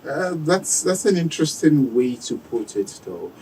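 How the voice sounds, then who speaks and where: A man's voice: fairly high pitch, very animated, a little slow, mellow, recorded from a distance, a little hiss or hum, poor audio. A man hosting a radio interview, asking curious, chatty questions. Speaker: radio show host. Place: radio studio.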